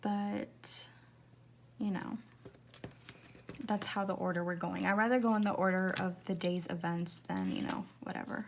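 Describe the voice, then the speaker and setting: A woman in her twenties chatting casually in a rambling, confiding way, laughing often and slipping into soft whispers as she talks through decorating her planner. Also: fairly low pitch, steady tone, a little slow, gravelly, long pauses, a little hiss or hum. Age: 20s. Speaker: planner vlogger.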